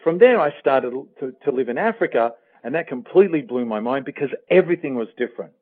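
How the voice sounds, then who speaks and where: A man's voice: medium pitch, lively intonation, quick pace. A man with an Australian accent speaking as a guest life coach in a motivational interview, earnest and emphatic, repeating phrases for effect. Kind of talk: motivational interview talk with personal anecdotes. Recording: thin, phone-like radio sound.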